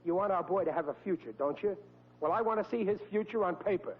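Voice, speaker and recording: A man's voice: high-pitched, fast. An actor playing a blustering, exasperated middle-aged working-class American father, stammering and flustered, in a 1940s radio comedy. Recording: muffled old-time radio recording with hum.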